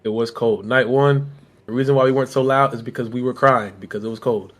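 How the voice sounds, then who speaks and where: A man from Philadelphia co-hosting a podcast, his voice a little hoarse from being sick, rambling with repeated words and "you know what I'm saying.". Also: medium pitch, fairly even intonation, fast talker, fairly bright tone. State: hoarse from illness. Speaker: podcast co-host. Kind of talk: casual chat.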